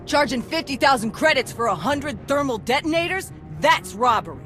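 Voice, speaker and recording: A woman's voice: sits high, expressive, moderate pace, fairly bright tone, faint music behind. A woman playing a cocky, sarcastic young thief who talks in brash slang, in a video game. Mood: mocking. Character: cocky, sharp-tongued young female thief, defiant and sarcastic.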